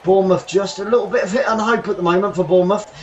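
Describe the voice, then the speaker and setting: A man hosting a live football watchalong from his bedroom, commentating the match and thanking viewers, getting excitable and shouting when chances come. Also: high-pitched, fast talker, lo-fi sound, a little hiss or hum. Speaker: watchalong host. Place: home bedroom.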